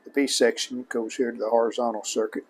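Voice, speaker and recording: A man giving a casual repair demonstration, plainspoken and unhurried, speaking in short phrases as he works. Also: mid-range voice, flat delivery, slightly rough. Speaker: host of a vintage electronics repair channel.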